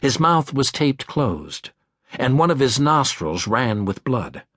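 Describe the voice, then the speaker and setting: A man's voice: medium pitch, big pitch swings, takes it slowly, fairly bright tone, dry recording. A man reading a thriller audiobook as scripted narration, close on a studio microphone. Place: dry studio.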